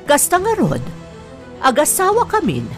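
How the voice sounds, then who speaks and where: A woman's voice: high voice, wildly swooping pitch, a little slow, faint music behind, full character voice. A woman with an Ilocano accent playing a smug, haughty mistress in a radio-style drama, speaking in a condescending, theatrical way. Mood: smug, dismissive.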